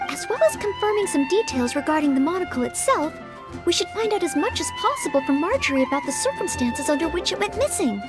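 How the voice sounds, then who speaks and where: A gentle young maid-knight girl from a video game, speaks in a very high voice. She is formal, polite and eager to help. Prominent music plays behind her. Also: very animated, fast talker. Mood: polite, attentive.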